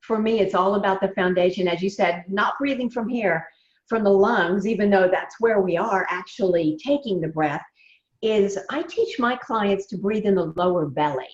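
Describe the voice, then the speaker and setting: A woman, a voice coach giving measured, emphatic advice in a remote interview. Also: mid-range voice. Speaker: voice coach guest. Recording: roomy, dull lo-fi sound.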